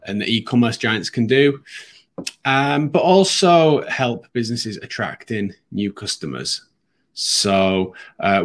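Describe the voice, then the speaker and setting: A man hosting a live business webinar, a bit sniffly from hay fever, talking conversationally with frequent ums and restarts. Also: on the low side. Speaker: webinar host.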